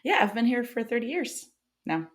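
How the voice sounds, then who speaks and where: A woman's voice: a little high, very animated, normal speed. A woman in her forties, originally from Brazil, chatting as a podcast guest and laughing often. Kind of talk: casual interview chat.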